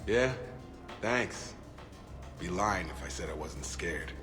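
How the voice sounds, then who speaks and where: A man playing an earnest, steady soldier in a video game, sincere and down-to-earth. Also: low voice, takes it slowly. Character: earnest, steady male soldier and expectant father. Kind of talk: scripted game dialogue. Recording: music under the voice.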